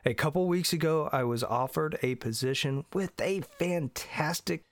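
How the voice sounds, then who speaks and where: A man's voice: a little high, normal speed. A middle-aged man from Indiana, congested from allergies, hosting a solo podcast in an earnest, emphatic way. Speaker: podcast host.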